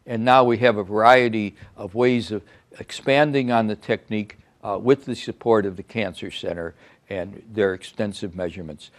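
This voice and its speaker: Older man giving a halting research talk. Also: fairly low pitch. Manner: halting, with many fillers and restarts. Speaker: cancer researcher presenting. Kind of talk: scientific talk at a reception. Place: conference room with an audience.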